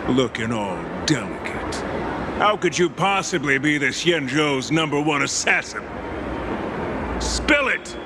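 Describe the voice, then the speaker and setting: A man voicing a rough, suspicious male gangster thug in a game, blunt and barking, over background music. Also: on the high side, expressive, unhurried, background noise. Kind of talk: game voice acting. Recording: game audio with loud music.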